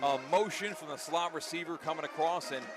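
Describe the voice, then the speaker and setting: High-voiced man calling live football play-by-play. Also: fairly quick, music in the background. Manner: energetic, vivid play-by-play delivery. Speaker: play-by-play sports announcer. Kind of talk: live radio football commentary.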